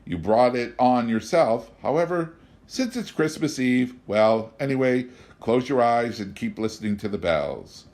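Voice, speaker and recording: A mysterious little stranger, calm and wry, speaking in slow, measured phrases, voiced by a male storyteller. His tone rises and falls with lively, knowing emphasis. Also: mid-range voice.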